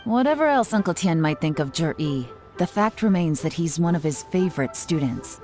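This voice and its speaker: A woman's voice: low-pitched, very animated, normal speed, a little mellow. A woman playing a cool, shrewd female secret agent in a video game, speaking in a composed, calculating, wry way. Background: faint game music.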